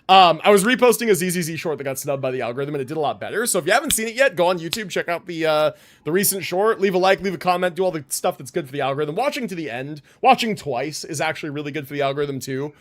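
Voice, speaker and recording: A young man chatting casually to his livestream viewers as a game streamer, informal and rambling, with free swearing. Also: fairly high pitch.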